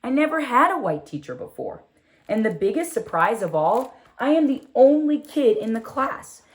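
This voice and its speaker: A woman reading a children's storybook in the first person as a curious, proud six-year-old girl, expressive and full of wonder. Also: on the high side, moderate pace, voice pushed up. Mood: puzzled. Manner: expressive, wondering, asks rhetorical questions.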